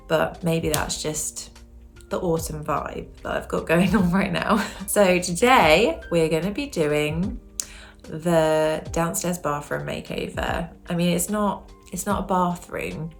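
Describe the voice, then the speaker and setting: A young British woman with a croaky throat, chatting casually to camera as a home decor vlogger, rambling and correcting herself as she goes. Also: low-pitched, a little slow, soft background music.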